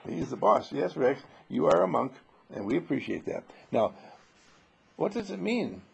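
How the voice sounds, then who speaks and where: A man giving an informal spiritual sermon full of rhetorical questions, emphatic and dramatic, with a husky, gravelly voice. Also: mid-range voice, very animated, moderate pace, muffled recording. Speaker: spiritual teacher.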